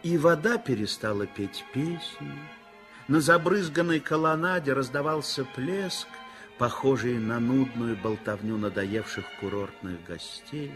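A man narrating a 1960s radio play in a measured, expressive storytelling manner. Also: medium pitch, soft background music. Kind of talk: scripted literary narration, 1960s radio theatre.

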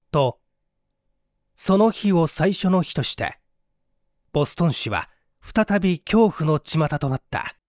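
Guy reading a mystery story dramatically, fairly high voice, very dry close sound. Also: no room sound. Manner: formal, theatrical, suspenseful. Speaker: narrator.

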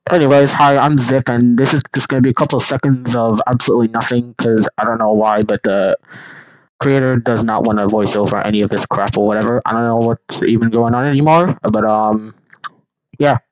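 A man's voice: medium pitch, fairly even intonation, brisk, no room sound, low-quality recording. A man casually doing an amateur fan dub of an animation, rambling and unserious, with half-hearted, silly ad-libs. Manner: rambling, silly, unserious ad-libbing with mock alarm. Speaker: amateur voice actor.

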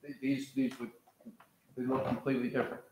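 A man's voice: mid-range voice, level tone, fairly quick, noisy background. An older American man from the Pacific Coast gives a conversational, digressive lecture, pacing in front of a seated audience in a large lecture hall, picked up by a far camera. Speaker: professor leading a master class.

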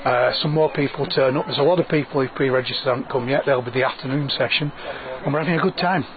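A man's voice: medium pitch, quick pace. A man, an event organiser talking informally in an outdoor interview. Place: outdoors under canopy by running track. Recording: thin phone-like sound, crowd chatter behind.

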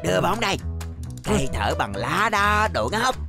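A cocky little bully boy in a kids' cartoon. The voice is lowish and gravelly with swooping delivery, over loud music. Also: sing-song, moderate pace. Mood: taunting, aggressive. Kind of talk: children's cartoon voice acting.

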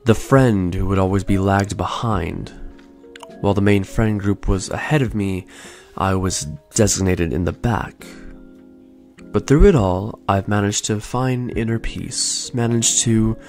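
A young man in his twenties hosting a podcast, telling his own story in a soft, slow, soothing way. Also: fairly low pitch, fairly even intonation. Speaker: podcast host. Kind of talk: calm reflective storytelling. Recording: faint lo-fi music bed. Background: soft lo-fi music.

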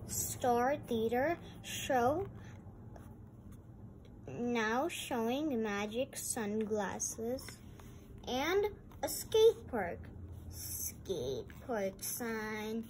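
A woman reading a picture book aloud and adding chatty, exclamatory comments in a halting way. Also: on the high side, darkish tone. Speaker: read-aloud host. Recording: noisy amateur home recording.

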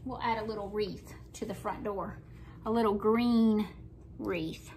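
A woman's voice: mid-range voice, steady tone, unhurried, background noise. A middle-aged woman with a Southern US accent, chatting folksily through a painting tutorial at her kitchen table. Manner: chatty, folksy, talks while working. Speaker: craft painting host. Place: home kitchen table, indoors.

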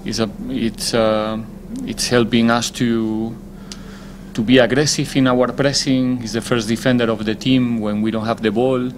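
A man, a football head coach, answering press conference questions in a measured, deliberate way, often pausing to find his words. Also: mid-range voice, flat delivery, slight background noise.

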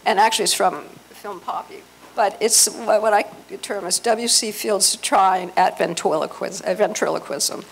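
Creaky-voiced middle-aged American woman presenting. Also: mid-range voice, normal speed. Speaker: presenter at a convention. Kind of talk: informal public talk. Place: hotel meeting room with seated audience. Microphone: handheld microphone. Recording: noisy hotel meeting room.

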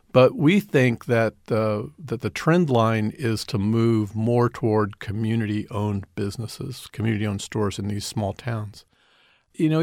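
A middle-aged man speaks earnestly as a radio interview guest, stopping to repeat and restart his words. His voice has a gravelly edge at a mid pitch. The sound is dry and close, with no room around it. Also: no room sound. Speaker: community development director, guest.

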